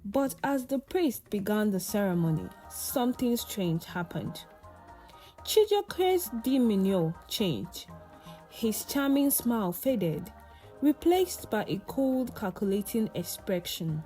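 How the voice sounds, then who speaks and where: A woman narrates a folktale in a scripted storytelling style. Her pace is unhurried and her tone soft-edged and dark, at a middling pitch. Faint music plays behind her. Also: big pitch swings, rough recording.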